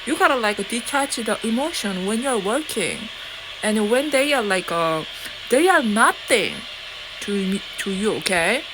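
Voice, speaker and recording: A woman's voice: fairly high pitch, a little slow. A woman with a Korean accent talking straight to her viewers in a rambling, defiant way, swearing and full of fillers and restarts. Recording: loud steady background noise.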